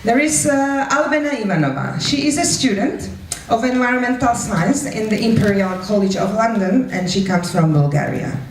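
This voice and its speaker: A woman hosts a live event in an informal, playful style, encouraging those present. Her pitch is on the high side. She sounds away from the mic in a room with noticeable echo. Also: animated, normal speed. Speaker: moderator of the event. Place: indoor event hall before an audience. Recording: roomy, distant mic, faint noise.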